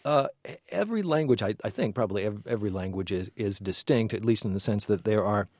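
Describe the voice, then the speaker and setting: A male host with a fairly low voice. He asks long, meandering questions, circling back and restarting as he thinks. The recording is dry and close. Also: animated, fast, darkish tone, no room sound. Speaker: interviewer host. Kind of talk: conversational interview.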